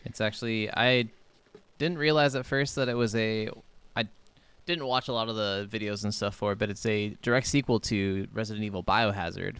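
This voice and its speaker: Smooth-voiced man in rambling, slangy chat. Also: fairly low pitch, fairly even intonation, normal speed, fairly bright tone, no echo. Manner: rambling, slangy, casually profane. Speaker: podcast co-host. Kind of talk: casual gaming podcast chat.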